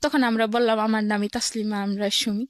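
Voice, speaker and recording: A woman's voice: medium pitch, little pitch movement, fairly quick, dry recording, studio quality. A woman with a Bangladeshi accent telling her own life story as an interview guest, in plain colloquial words, step by step.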